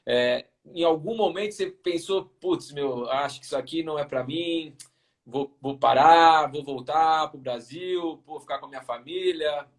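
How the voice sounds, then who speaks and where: A man's voice: on the high side, moderate pace. A man with a Brazilian Portuguese accent, asking questions as the interviewer in a casual chat.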